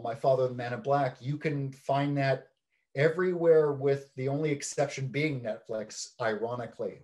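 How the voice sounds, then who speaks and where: A middle-aged man, a film director being interviewed, explaining his points in a measured way over a video call from a large indoor hall. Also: medium pitch, a little mellow. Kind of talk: interview answers. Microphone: video call webcam.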